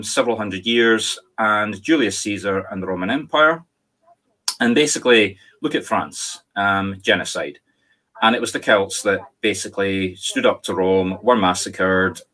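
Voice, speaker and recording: A man with a Scottish accent speaks in a fairly low voice. He tells history as a winding story, often correcting himself mid-sentence. The sound comes through a video call. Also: normal speed, fairly bright tone. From Scotland. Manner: discursive, storytelling, self-correcting. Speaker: spiritualist medium. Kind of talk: online talk, lecturing on history. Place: home, on video call with virtual background.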